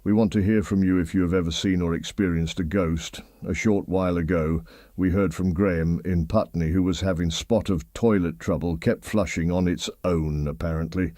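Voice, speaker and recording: A man's voice: low-pitched, steady tone, normal speed, warm tone, dry recording, clean studio sound, close to an everyday voice. A man acting a genial late-night radio phone-in host with a British accent, chatty and lightly bemused.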